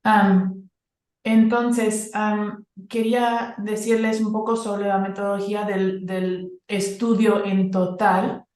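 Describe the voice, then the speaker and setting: Non-native woman researcher presenting slowly with many fillers, echoey room. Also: mid-range voice, level tone, a little mellow. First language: not Spanish. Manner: hesitant, many fillers and restarts. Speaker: academic presenter. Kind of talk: conference presentation.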